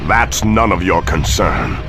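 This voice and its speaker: Very deep gravelly alien villain. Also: male voice, unhurried. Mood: cold, threatening. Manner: condescending, formal, taunting. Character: menacing smug alien crime boss villain. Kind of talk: video game cutscene voice acting. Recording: music behind the voice.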